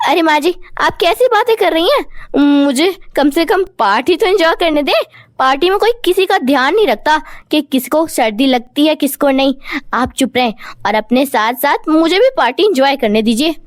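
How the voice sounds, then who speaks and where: A woman's voice: high voice, animated, moderate pace, no room sound, clean studio sound. A woman voicing a stubborn, pleading young wife who always feels cold, in cartoon moral-story dialogue. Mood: indignant.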